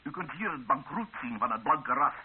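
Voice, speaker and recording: An actor playing a bitter, menacing rebel district commander in an old radio drama, with a stern, mocking, declamatory delivery. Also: male voice, mid-range voice, animated, normal speed, slightly rough. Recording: hissy, narrow-band old radio drama recording.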